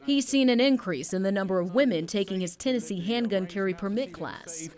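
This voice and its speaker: A woman's voice: medium pitch, a little fast, a little mellow. A woman working as a TV news reporter, reading a scripted report in narration style.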